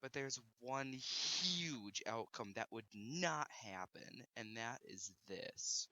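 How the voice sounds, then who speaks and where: A man's voice: medium pitch, lively intonation, slow, bright, forceful tone, a little hiss or hum. A man narrating a scripted video review in a critical, emphatic manner.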